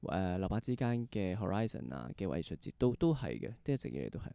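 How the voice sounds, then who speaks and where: A man's voice: low voice, big pitch swings, quick pace, vocal fry, mellow, clear, resonant tone, no room sound, studio quality. A man with a Hong Kong Cantonese accent hosting a casual interview, hesitating and repeating phrases, with English words mixed in. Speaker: podcast host.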